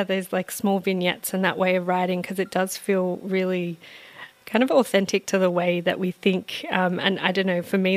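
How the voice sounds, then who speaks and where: A woman radio presenter, asking long, halting questions full of fillers. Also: fairly low pitch, no echo. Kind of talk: radio interview.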